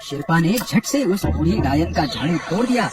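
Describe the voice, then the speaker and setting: High-pitched man narrating a story. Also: lively intonation, moderate pace, music in the background. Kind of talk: scripted children's horror story narration.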